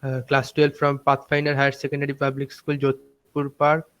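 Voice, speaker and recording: A man's voice: mid-range voice, completely flat delivery, normal speed, fairly bright tone, clean tone, dull audio, faint music behind. A young male student from India, introducing himself formally. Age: young adult. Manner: formal, compliant.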